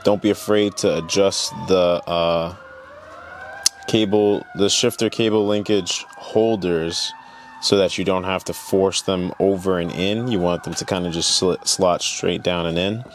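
A man's voice: low-pitched, fairly even intonation, a little slow, slight background noise. A young man talking casually and explaining hands-on work, as in a DIY car vlog, into a clip-on mic. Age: young adult. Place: indoor garage, partly inside car. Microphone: clip-on lavalier.

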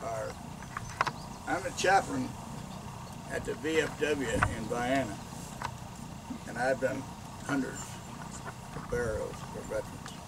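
A middle-aged man, a veterans' chaplain, giving a measured, solemn memorial address outdoors at a cemetery, recorded from a distance. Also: medium pitch. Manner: measured, solemn ceremonial delivery. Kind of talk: formal graveside memorial address. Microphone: handheld mic at a distance, later unamplified. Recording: outdoor ambience and noise, mic from far off.

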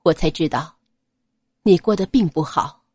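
A woman voicing a cold, restrained young nobleman in an audiobook, quiet, grave and measured. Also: fairly low pitch, breathy edge, no echo, clean studio sound, voice pushed down. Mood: quiet, guarded. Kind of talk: dramatized audiobook character acting.

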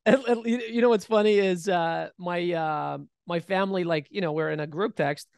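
A man in his thirties co-hosting a casual podcast, talking in an animated way with many restarts, recorded on a studio mic. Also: high-pitched, normal speed, creaky, clean tone, no room sound. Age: 30s. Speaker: podcast co-host. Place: bright studio room, seated in armchair. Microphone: boom-mounted studio mic.

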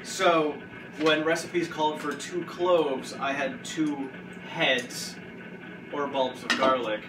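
Guy hosting a goofy cooking show in his kitchen, bit high voice, far from the cam, echoey. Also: fairly even intonation, slow, over music. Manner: joking, rambling, with restarts. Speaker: host. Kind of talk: casual cooking show banter. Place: home kitchen, indoors. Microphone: far camera. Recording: roomy, distant, noisy kitchen audio.

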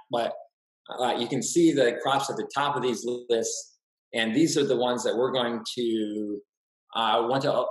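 A middle-aged man farmer giving a practical slide talk, with plenty of "uh" fillers and restarts. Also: medium pitch. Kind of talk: slide presentation lecture. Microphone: webcam on a video call. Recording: roomy echoey webcam audio.

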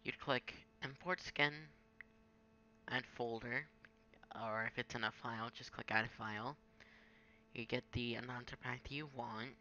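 A man's voice: mid-range voice, steady tone, unhurried, sharp tone, muffled recording, a little hiss or hum. A young man giving a casual, improvised screen-recorded tutorial in an offhand way. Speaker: gaming tutorial maker. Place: indoors at a home computer.

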